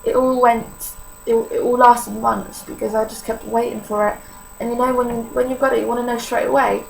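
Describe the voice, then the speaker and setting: An eighteen-year-old Polish-accented woman speaks candidly to her camera, her voice pitched a little high and heavily gravelly with vocal fry. She talks informally with plenty of fillers. A faint hum of noise sits behind her. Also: little pitch movement, moderate pace, low-quality recording. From Poland. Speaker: vlogger. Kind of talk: personal vlog confession.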